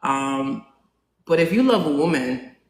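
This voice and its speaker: A non-binary host in their forties chatting casually with a live audience, asking them questions and filling pauses with "um". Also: mid-range voice, expressive. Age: 40s. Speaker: live talk show host. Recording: roomy echo, faint background hum.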